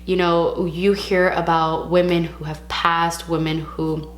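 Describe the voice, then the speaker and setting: A young woman from California vlogging casually to camera, frank and chatty, mixing in Spanish words, her voice a little raspy from a sore throat. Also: fairly low pitch, little pitch movement, slow pace, echoey room, slight background noise. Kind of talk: casual vlog talk to camera.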